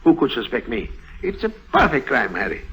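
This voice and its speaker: An actor playing a jealous, anxious bank schemer with a raspy voice and a fussy, conspiratorial manner, in old radio drama style. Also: male voice, medium pitch, wildly swooping pitch, fairly quick, fairly bright tone, full character voice, low-quality recording. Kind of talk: 1950s radio drama acting. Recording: old radio broadcast recording.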